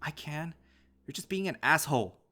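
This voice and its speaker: A man voicing an angry, defiant animal boy, wounded and desperate, with foul-mouthed shouted outbursts in an audio story. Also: mid-range voice, lively intonation, moderate pace, soft background music, pitched above the performer's own voice. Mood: furious defiant.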